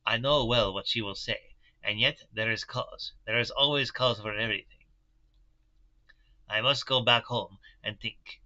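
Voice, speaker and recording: A man voicing a kindly, grave elderly professor in a put-on German-style accent, speaking in halting, broken formal phrases. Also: mid-range voice, sharp tone, dull audio.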